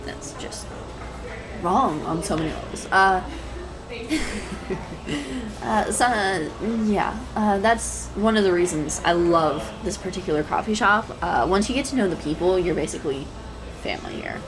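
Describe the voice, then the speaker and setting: Woman acting a slow, hesitant, shy coffee-shop writer. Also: medium pitch, animated, poor audio. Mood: warming up, chatty. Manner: hesitant, stammering, nervous giggles, apologetic. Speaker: audio roleplay performer. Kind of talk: intimate one-sided audio roleplay acting. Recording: clear background noise, cafe ambience effects. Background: coffee shop sound effects.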